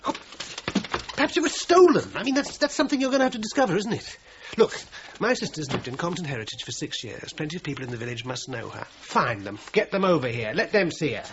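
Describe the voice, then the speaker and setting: An actor playing an anxious, insistent brother in a radio crime drama, stammering and emphatic. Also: male voice, a little high, big pitch swings, fast. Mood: agitated, defensive. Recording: dull lo-fi audio, loud noise.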